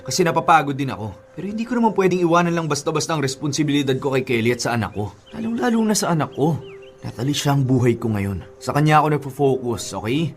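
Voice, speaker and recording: An actor playing a torn, defensive two-timing boyfriend in a Filipino radio romance drama, pleading earnestly in a Filipino accent. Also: male voice, a little high, slight background noise. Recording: prominent music behind the voice.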